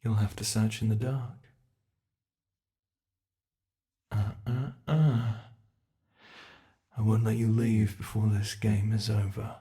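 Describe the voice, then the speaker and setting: A man voicing a gleeful, menacing, centuries-old monster under the bed in an ASMR horror roleplay, teasing in a whispery way with drawn-out words. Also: on the low side, level tone, mellow. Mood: teasing, sinister.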